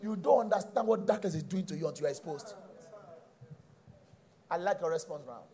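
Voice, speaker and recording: A middle-aged Nigerian man with a raspy voice, teaching a sermon emphatically, repeating key phrases and checking in with his listeners. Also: sits high, animated, a little fast, mellow. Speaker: preacher.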